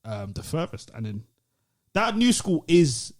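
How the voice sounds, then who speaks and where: A man's voice: on the high side, very animated, unhurried. A man with a British London accent co-hosting a podcast, bantering casually about music in slangy, emphatic speech. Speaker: podcast co-host.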